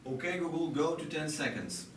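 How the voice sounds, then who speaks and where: A man demonstrates a product live to listeners, pausing often and filling gaps as he searches for words. His voice reaches a distant microphone in an echoey indoor room, with clear noise behind him. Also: on the high side, a bit bright. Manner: hesitant, halting delivery with many long filler pauses.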